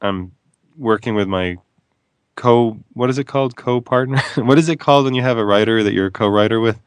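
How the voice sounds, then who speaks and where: A man's voice: mid-range voice, brisk, clear, resonant tone, dry recording. A man who is an author, talking as a guest in a casual radio interview, playful and wry, wandering off on tangents.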